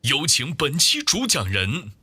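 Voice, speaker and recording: A man announcing the opening of a show, speaking slowly. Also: on the high side, huge pitch swings. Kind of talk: show introduction.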